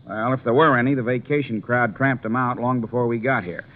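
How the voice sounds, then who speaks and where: An American actor playing a talkative small-town police sergeant in 1950s radio drama, plain-spoken and opinionated. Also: male voice, medium pitch, brisk, natural voice. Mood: matter-of-fact. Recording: tinny, noisy 1950s radio recording.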